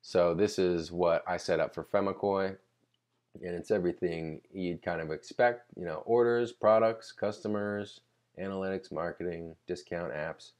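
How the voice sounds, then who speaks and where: A man giving a casual, unscripted screen-recording tutorial, explaining conversationally and pausing mid-sentence to think. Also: low voice, darkish tone. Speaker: tutorial presenter.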